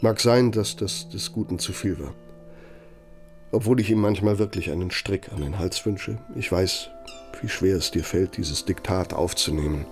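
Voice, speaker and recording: An actor playing a pompous, bitter older former Nazi functionary dictating in a German radio play, sardonic and domineering. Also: male voice, sits low, moderate pace, soft background music. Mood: bitter, reproachful.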